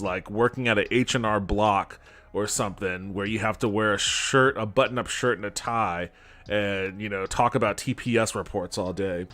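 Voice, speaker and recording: A man's voice: fairly low pitch, a bit bright. A man giving casual, critical commentary as a podcast host, with a skeptical, sarcastic way of talking. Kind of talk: casual critical commentary, reading web copy aloud. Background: background music.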